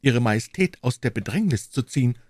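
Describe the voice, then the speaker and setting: A man's voice: mid-range voice, lively intonation, brisk, darkish tone, dry recording, studio quality, pitched above the performer's own voice, natural voice. A man voicing a devoted, brave young woman servant with an earnest, pleading and reassuring manner in a storybook reading. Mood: soothing, earnest.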